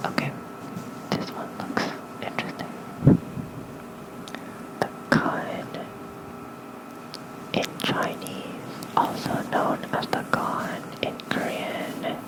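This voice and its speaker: An ASMR host reading lore in a soft, breathy whisper into a close microphone, unhurried and halting, with informal asides. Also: low-quality recording. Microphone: close fluffy-covered ASMR microphone.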